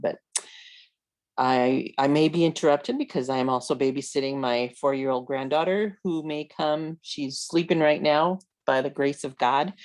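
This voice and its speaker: An older American woman gives an informal introduction in an online meeting. Her voice has noticeable creak, and she speaks haltingly, often repeating and rephrasing. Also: very deep voice. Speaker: library board commissioner, former school librarian. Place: at home on a video call.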